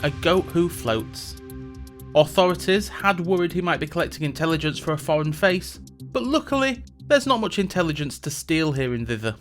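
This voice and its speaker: A man's voice: on the high side, very animated, moderate pace, soft background music. A man playing a reluctant, sardonic male mage who hosts a tourism show, delivering scripted comic narration with dry, sarcastic asides. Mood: wry. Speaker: podcast host.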